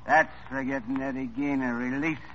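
A man's voice: mid-range voice, big pitch swings, moderate pace, vocal fry, very noisy. An actor playing a vengeful male killer who taunts his victim, in 1950s radio drama style. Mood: menacing. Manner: menacing, taunting. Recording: dull old radio recording.

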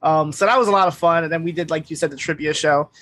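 A man, a guest on a podcast, talking in a relaxed way while losing his voice. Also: fairly high pitch, fast. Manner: relaxed and talkative. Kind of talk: casual chat.

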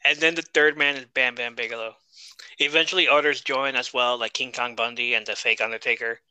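A man's voice: medium pitch, fairly even intonation, a little fast, sharp tone, clean tone, no room sound, rough recording. A man co-hosting a casual wrestling podcast, chatty and wry, often laughing at his own jokes. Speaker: podcast co-host.

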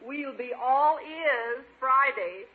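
A woman's voice: high-pitched, takes it slowly, very noisy. Refined club woman, tinny old radio. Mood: pleased, gracious. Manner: formal, precise, politely condescending. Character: brisk, refined, high-minded society club woman. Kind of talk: 1950s radio situation comedy acting. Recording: muffled, tinny 1950s radio recording.

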